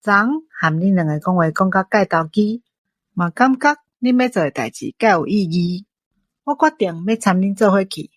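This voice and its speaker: A woman's voice: medium pitch, animated, moderate pace, no echo, lightly acted. Woman acting determined Taiwanese shopkeeper lady, dry sound. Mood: eager. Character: friendly, determined woman shopkeeper joining an adventure. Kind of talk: audio drama acting.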